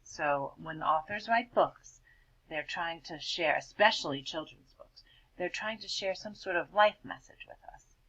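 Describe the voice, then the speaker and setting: A woman teacher reading a picture book aloud and asking her class gentle questions about it. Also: on the low side, unhurried, muffled recording. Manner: gentle questioning, prompting children to think.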